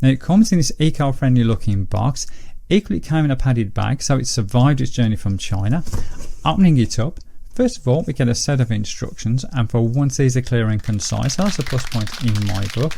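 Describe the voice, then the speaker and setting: Mellow man, slightly gravelly. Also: medium pitch, lively intonation, a little fast. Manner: methodical, explanatory. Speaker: host of gadget review videos. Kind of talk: casual product review.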